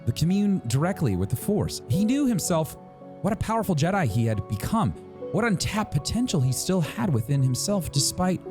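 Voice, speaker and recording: A man reading a story aloud as a dramatic narrator, with suspenseful, deliberate phrasing and pauses. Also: medium pitch, darkish tone. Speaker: narrator reading a novel excerpt. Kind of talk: dramatic audiobook-style narration.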